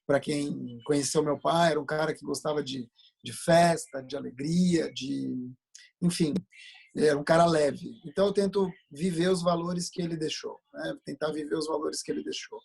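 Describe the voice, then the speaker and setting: A man with a husky voice that sits a little high. He hosts an informal interview over a video call, joking and needling his guest. He talks loosely and readily interrupts. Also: steady tone, normal speed, darkish tone.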